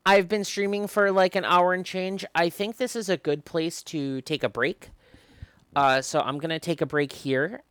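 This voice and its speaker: A man with a gravelly voice livestreaming, chatting and reading a visual novel aloud. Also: fairly high pitch, fairly bright tone, no room sound, studio quality. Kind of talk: livestream chat and read-aloud narration.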